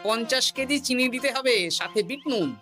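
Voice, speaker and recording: A woman's voice: high-pitched, a little fast, over music, close to an everyday voice. A woman voicing a cheerful, curious male village jester in a cartoon, chatty and inquisitive. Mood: busy, cheerful. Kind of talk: cartoon dialogue.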